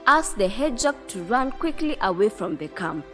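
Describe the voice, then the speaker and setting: A woman's voice: mid-range voice, big pitch swings, moderate pace, pushed and bright. A woman narrating a scripted fairy tale for children in a storybook style. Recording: music under the voice.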